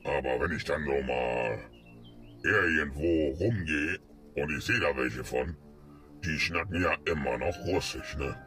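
A man's voice: rumbling low, a little slow, pushed and bright. A man complaining and agreeing in a comedy sketch. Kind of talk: ranting comedy dialogue. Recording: faint music behind voice. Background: music.

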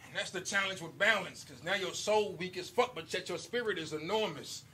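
A man with a high-pitched voice delivers battle rap bars in a punchy, rhythmic cadence and chuckles between lines. The recording is live from an indoor venue, with a loud crowd cheering and shouting throughout. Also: fairly quick. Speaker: battle rapper.

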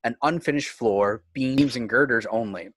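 A man's voice: mid-range voice, moderate pace, no room sound. A man reading scripted screenplay narration, describing scenes and action. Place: indoors on a home video call.

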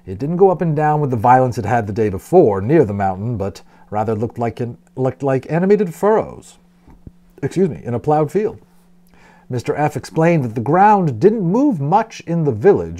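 Male audiobook narrator, expressive and dramatic, soft-edged tone, big swings in intonation. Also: mid-range voice. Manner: dramatic, with brief explanatory asides. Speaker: audiobook reader, storyteller.

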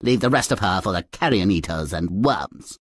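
A woman playing a vain, cruel evil queen in a video game, with a husky voice and an imperious, scornful delivery. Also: very deep voice, animated, normal speed, no echo, studio quality, full character voice. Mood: commanding. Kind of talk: video game character acting.

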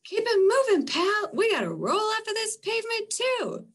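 A woman's voice: very high-pitched, sing-song, moderate pace, a bit bright, pitched above the performer's own voice, exaggerated voice. A woman voicing a pushy, bossy cartoon raindrop in a comic strip read-aloud. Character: pushy cartoon raindrop pal barking orders.

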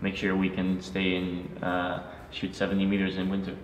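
Young man with a very low, flat voice. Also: moderate pace. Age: young adult. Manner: hesitant, many "uh"s. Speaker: athlete guest. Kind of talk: interview answers. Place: indoor archery range. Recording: distant, echoey, dull.

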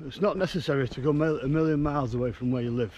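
A man, chatting casually to camera as an outdoors vlogger, unhurried and halting, often repeating words. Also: on the high side, lo-fi sound, loud music behind the voice. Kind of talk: casual rambling chat to camera. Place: outdoors in woodland. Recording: outdoor woodland ambience, clear background noise. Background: natural outdoor ambient noise.

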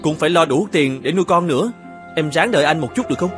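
A man voicing an earnest, evasive married painter in an animated folktale, with a polite and hesitant manner. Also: a little high, quick pace, over music, natural voice. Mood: reluctant.

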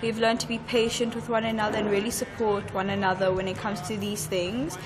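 A woman's voice: mid-range voice, level tone, brisk, a crowd in the background. A teenage girl, a student performer, chatting casually and filling her talk with "like". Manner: chatty, lots of "like". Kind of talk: casual interview.

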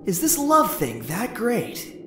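Woman playing a brash, animated young ghost boy. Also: medium pitch, slow. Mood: scornful. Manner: cocky, irritable. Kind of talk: comic dub acting. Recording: roomy echo under loud music.

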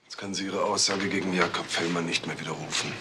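Actor as grave deep-voiced police boss. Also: male voice, steady tone, quick pace, lightly acted. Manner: sober, clipped, decisive. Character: grave senior police investigator in charge. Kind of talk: crime radio drama acting.

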